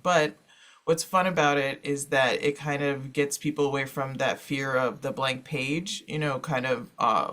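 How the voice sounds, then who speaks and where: A man with a slightly high voice gives an informal slide talk. He explains things conversationally, often pausing to find the next phrase, as a panelist in an online session. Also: a bit bright. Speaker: panelist and moderator, librarian.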